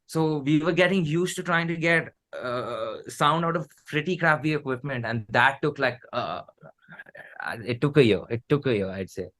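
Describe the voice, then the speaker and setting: Man, thirties, Indian accent, fairly high voice, casual chat, musician guest. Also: moderate pace, a bit bright.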